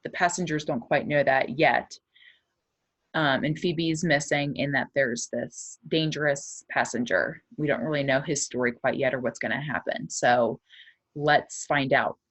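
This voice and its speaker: A woman with a low-pitched voice speaks as an elementary teacher, explaining and reading a children's story. She addresses pupils in simple, clear-cut terms. The recording is dry, with little room sound. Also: fairly even intonation, measured pace, a bit bright, dry recording.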